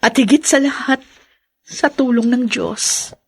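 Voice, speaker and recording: A woman's voice: on the high side, measured pace, gravelly, breathy edge, exaggerated voice. A young woman with a Filipino accent acting as a wounded but hopeful orphan in a radio drama. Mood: calm, resolved.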